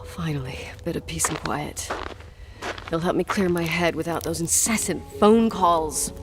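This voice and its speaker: Actress doing headstrong young woman in a video game, lowish voice, intense and sweary, natural delivery, music playing. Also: normal speed, lightly acted. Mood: bitter, irritated. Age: young adult. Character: strong-willed, defiant young woman investigating her father's hotel.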